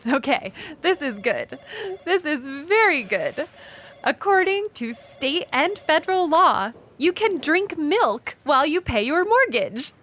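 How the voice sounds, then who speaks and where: A woman's voice: high voice, sing-song, measured pace, a bit bright, exaggerated voice. A woman playing an earnest but hopeless lawyer, confidently matter-of-fact, in an audio comedy sketch. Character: inept, earnest lawyer who was recently a cow.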